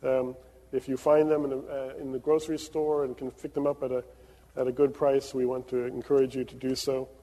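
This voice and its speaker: A man's voice: mid-range voice, level tone, brisk, warm tone. A man from Venezuela, a pastor leading prayers and giving announcements with many fillers. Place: church sanctuary. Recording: long reverb of a church sanctuary.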